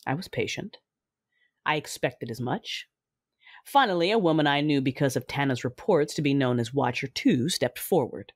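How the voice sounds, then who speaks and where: Woman acting a cold, low-voiced vengeful Sith lord. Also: animated, dry recording, clean studio sound. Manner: controlled, menacing, deliberate. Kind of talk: first-person audio drama narration.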